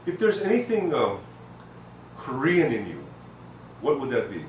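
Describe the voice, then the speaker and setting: A man's voice: mid-range voice, wildly swooping pitch, unhurried. A man interviewing an elder for an oral history, probing with frequent restarts and backchannels. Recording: echoey room with faint hiss.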